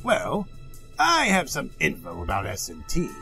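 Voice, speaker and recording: A man's voice: medium pitch, wildly swooping pitch, a little slow, gravelly, exaggerated voice. A man voicing a boastful, domineering mad-scientist villain in a cartoon comic dub, pompous and commanding. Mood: smug. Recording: loud music behind the voice.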